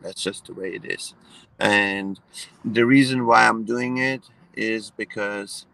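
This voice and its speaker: A man from Iran, raised in Germany, talking in a relaxed conversation with many hesitant fillers and restarts. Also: on the low side, slow, creaky, no echo, poor audio. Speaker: guest. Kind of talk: casual conversation.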